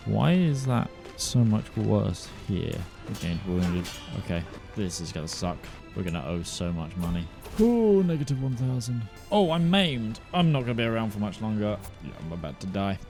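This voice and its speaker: A man casually narrating his own strategy game run, thinking aloud and swinging between flat musing and sudden excitement. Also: on the low side, normal speed, creaky, soft background music. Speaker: gaming YouTuber.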